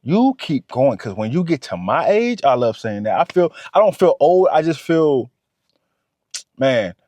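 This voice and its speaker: Animated man in his thirties, dry close sound. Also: mid-range voice, a little slow, no room sound. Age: 30s. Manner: animated, repeats himself, talks straight to the viewer. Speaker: vlogger. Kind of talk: motivational talk to camera.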